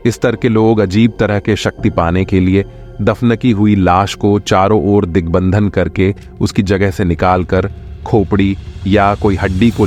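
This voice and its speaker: A kindly older priest voiced by a man speaks low and solemnly, offering reassurance in an animated horror tale. Faint music sits behind him. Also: close to an everyday voice. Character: calm, kindly older male temple priest. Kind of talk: animated horror story voice acting.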